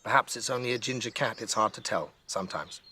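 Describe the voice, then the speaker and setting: A man voicing a playful, kindly old grandmother in a storybook, speaking with a gentle lilt. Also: fairly low pitch, fast talker, a little hiss or hum. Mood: gently teasing.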